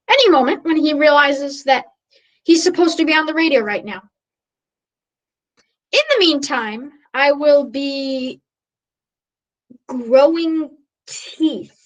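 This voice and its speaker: A woman playing a flustered, bumbling radio news reporter who speaks haltingly in a mock live interview. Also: sits high, low-quality recording. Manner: halting, stumbling over words.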